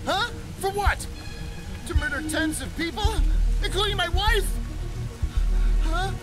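An actor playing a grief-crazed, vengeful widower in a video game, agitated and confrontational. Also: male voice, extremely high pitch, unhurried, over music, poor audio. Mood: bitter fury. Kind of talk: video game cutscene acting.

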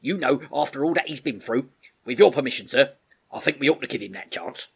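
A man voicing a blunt, loyal army sergeant in a storybook, brisk and plain-spoken with rustic grammar. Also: mid-range voice, animated, slightly rough, voice pushed up. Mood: hearty.

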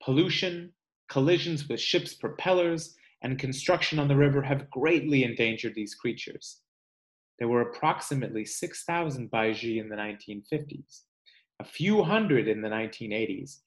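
A man in his thirties with a mid-range voice speaks as a teacher to young pupils. He phrases things in a measured, clear way, as in a picture book read-aloud. He is recorded in a room at home on a webcam. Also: mid-range voice. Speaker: teacher reading aloud to third-grade class.

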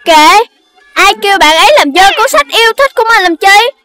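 Very high-pitched, huffy cartoon rabbit girl. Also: expressive, brisk, bright, forceful tone, soft background music, heavily acted. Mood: sulky. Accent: southern Vietnamese. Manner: insistent, huffy. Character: stubborn, easily offended young girl rabbit. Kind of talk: children's cartoon dubbing.

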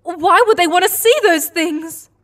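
A woman's voice: extremely high pitch, measured pace, fairly bright tone. A woman playing a wondering, questioning space traveller in a sci-fi audio drama.

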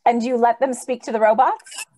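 A woman's voice: on the high side, fairly even intonation, normal speed, dark tone, lightly acted. A woman acting an earnest, idealistic young lady crusader in an old-time radio drama. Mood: earnest, challenging.

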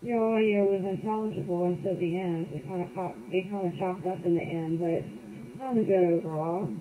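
A woman's voice: lowish voice, steady tone, normal speed, phone-quality sound. A woman operating amateur radio, speaking briefly over a digital voice radio link. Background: clear background noise.

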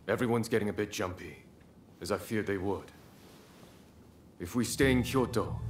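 An actor playing a stern, measured samurai commander in a video game cutscene. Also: male voice, mid-range voice, close to an everyday voice.

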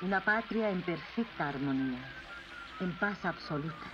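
Woman doing a cold regal cartoon queen, latin american spanish dub, lively pitch, dull old audio w/ music. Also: moderate pace, natural voice. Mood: proud. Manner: regal, formal. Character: proud, cold queen of a woman-ruled city. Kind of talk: dubbed animated series acting.

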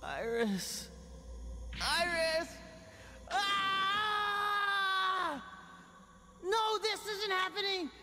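A man's voice: very high voice, animated, drawn-out words, long pauses, sharp tone, full character voice. A man voicing an intense, brooding young android warrior in a game cutscene, dramatic and strained. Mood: anguished, shouting. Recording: reverberant, noisy cutscene audio.

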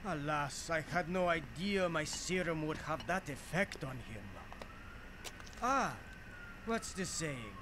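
A suave, sardonic vampire gentleman for an audio drama. His voice sits fairly high and swings expressively through formal, mocking lines, over audible noise. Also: animated, slow pace. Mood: smug, composed. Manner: formal, theatrical, mocking politeness. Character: urbane, sardonic male vampire doctor, intelligent and unruffled.

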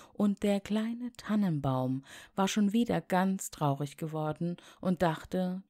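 A woman reading a scripted fairy tale aloud as a storyteller, in an expressive, measured way. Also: on the low side, no echo, studio quality.

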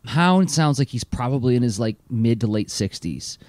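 A man co-hosting a podcast, talking in a lively, rambling way packed with fillers. His voice sits at an ordinary middle pitch. The sound is dry and close to the mic. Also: normal speed, no room sound. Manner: animated, lots of fillers. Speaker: podcast co-host. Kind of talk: casual chat.

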